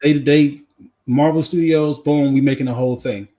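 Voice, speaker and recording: A man with a somewhat high voice talks as a podcast co-host. He is casual and slangy, and he doubles back on phrases to drive them home. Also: fairly even intonation, normal speed, dark tone. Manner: slangy, repeats phrases for emphasis. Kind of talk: casual movie chat.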